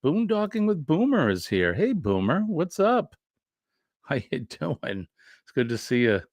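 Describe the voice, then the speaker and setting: A man's voice: on the high side, huge pitch swings, unhurried, dry recording. A man hosting a casual morning livestream, greeting viewers in an easygoing, joking way with many fillers. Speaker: livestream host.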